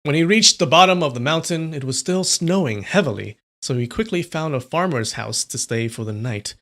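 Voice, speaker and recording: A man's voice: fairly high pitch, expressive, moderate pace, a bit bright. A young man from California reading a novel aloud on a livestream, chatting casually with viewers between passages.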